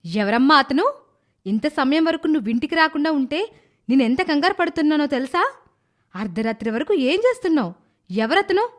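A man voicing a fussy, caring older mother in a storybook tale, in a high falsetto. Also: big pitch swings, normal speed, pauses often, fairly bright tone, heavily acted. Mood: worried.

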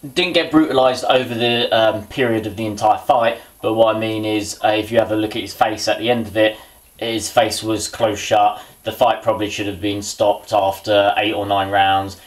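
A man's voice: on the low side, little pitch movement, normal speed. Man, thirties, rambling kitchen chat, mutters to himself, built-in camera mic. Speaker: boxing vlogger. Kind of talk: casual chat while cooking. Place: small home kitchen indoors.

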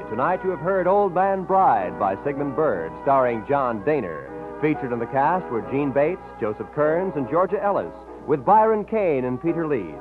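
A man announces scripted credits in vintage radio style. His voice is animated, with music clearly behind it and a thin, narrow broadcast sound. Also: fairly high pitch.

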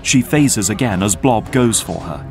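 A man's voice: lowish voice, expressive, a little slow, gravelly, over loud music. A man narrating an action audio drama from a script.

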